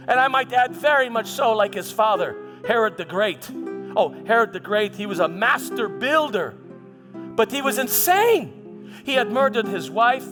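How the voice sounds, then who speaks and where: A proud ancient Roman governor played by a man recounts his life as a theatrical stage monologue. His high-pitched voice halts and doubles back on words for emphasis, ringing through a reverberant hall with music behind it. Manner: halting storyteller, repeating words for emphasis. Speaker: church dramatist performing a monologue. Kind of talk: theatrical first-person dramatic monologue. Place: church hall before an audience.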